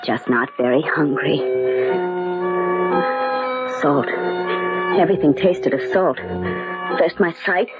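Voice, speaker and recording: A woman's voice: low-pitched, slow, pauses often, loud music behind the voice. An actress playing an anxious, embattled invalid lady, narrating and acting in a fraught, dramatic old-time radio style. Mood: troubled. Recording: tinny old radio recording.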